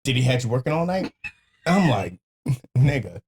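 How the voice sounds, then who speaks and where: A man's voice: mid-range voice, very animated, moderate pace, a little mellow. A man chatting casually on a podcast, joking in slangy, profane talk. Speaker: podcast speaker.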